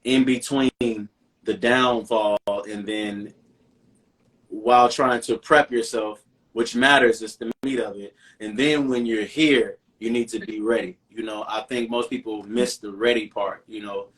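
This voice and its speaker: Earnest low-voiced man, heard from a distance. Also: fairly even intonation, unhurried, a bit bright. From Chicago, USA. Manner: reflective, earnest, repeats words while thinking. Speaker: singer and songwriter guest. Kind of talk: casual interview conversation.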